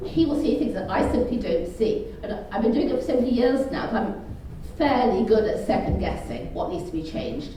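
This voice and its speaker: A woman's voice: medium pitch, warm tone. A middle-aged woman giving an explanatory interview as an access and inclusion consultant. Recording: echoey, distant mic, faint noise.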